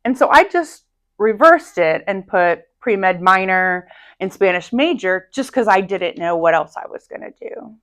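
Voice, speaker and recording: A woman in her thirties, a high school Spanish teacher, telling stories in a casual interview with a loud, energetic voice. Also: unhurried.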